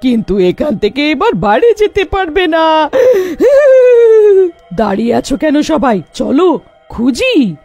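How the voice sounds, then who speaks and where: A greedy cartoon witch, acted out by a woman, high-pitched and husky. She gloats menacingly over her prey, swoops up and down and breaks into shrill cackles, with music behind her. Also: very animated, dark tone, heavily acted. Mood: menacing, hungry. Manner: gloating, menacing, drawn-out phrasing.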